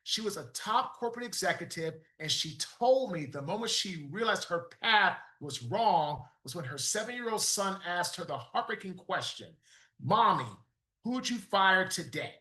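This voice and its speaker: A man's voice: sits high, lively intonation, moderate pace, pushed and bright, echoey room. A man hosting a podcast interview, talking in an emphatic, motivational way. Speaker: podcast host.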